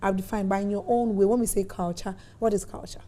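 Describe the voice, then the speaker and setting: A woman with a Ghanaian accent hosting a TV studio discussion, chatty and quick with murmured agreement. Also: medium pitch, steady tone, dark tone. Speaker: TV talk show host.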